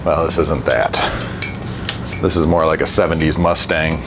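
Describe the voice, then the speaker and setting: A man working on a bike in his workshop, talking casually through each step with a relaxed, chatty manner. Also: a bass voice, normal speed. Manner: relaxed, chatty, thinks aloud with fillers. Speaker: bike mechanic and channel host. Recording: workshop noise behind the voice.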